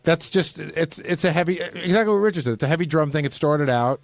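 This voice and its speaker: A man's voice: a little high, quick pace, no echo. A man on a radio panel, chatting casually and putting questions to others. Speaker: show panelist. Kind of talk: casual chat.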